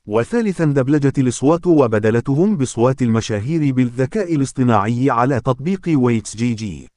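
A man's voice: mid-range voice, animated, quick pace, darkish tone, no echo. A man hosting and narrating a video in a scripted creator style.

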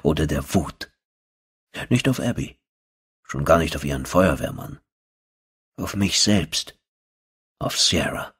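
Man, very low husky voice, horror audiobook narration, brooding, terse, dry. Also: lively intonation, slow pace, long pauses, a bit bright.

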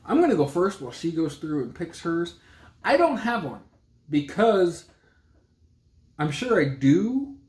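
A man's voice: fairly high pitch, sing-song, measured pace, pauses often, a little mellow. A man in his thirties talking casually and plainly about books as a vlog co-host, recorded at home on a camera mic. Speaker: book reviewer and co-host of a book vlog. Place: small indoor room at home with a bookcase. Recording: roomy, echoey home camera sound.

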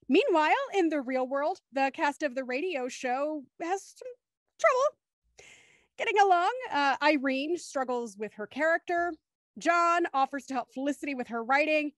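Young woman hosting a stream, high voice, upbeat, dry mic sound. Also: fairly bright tone, no room sound. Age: young adult. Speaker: show host. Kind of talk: upbeat livestream hosting, introducing guests. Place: small room at home, video call. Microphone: studio microphone on a stand, headphones.

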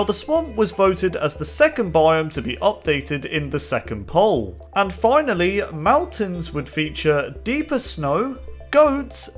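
Hoarse young man with a lively voice. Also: on the high side. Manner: upbeat, lively, repeats "as you can see". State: hoarse, getting sick. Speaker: gaming channel host. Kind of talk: video game news voice-over.

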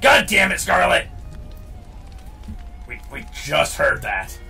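A man's voice: a little high, wildly swooping pitch, slow, creaky, sharp tone. A man hosting a gaming show with casual, opinionated commentary, emphatic and prone to swearing. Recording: distant mic, game music underneath.

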